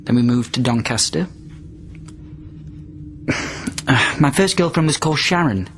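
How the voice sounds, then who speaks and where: An actor playing a desperate confined patient from the past in a radio drama, speaking in an emotional, halting, plaintive way. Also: male voice, mid-range voice, expressive, a bit bright, a little hiss or hum. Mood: tentative. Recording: radio drama with music underneath.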